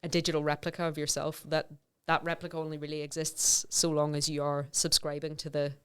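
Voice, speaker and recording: Low, smooth-voiced woman researcher. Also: little pitch movement, brisk, fairly bright tone. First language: English. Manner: thoughtful, many fillers and self-restarts. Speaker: voice researcher, podcast guest. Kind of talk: conversational interview.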